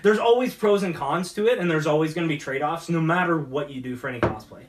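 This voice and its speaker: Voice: mid-range voice, quick pace, noisy background. A talk show host chatting casually in a rambling, hesitant way. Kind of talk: casual chat. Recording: distant mic.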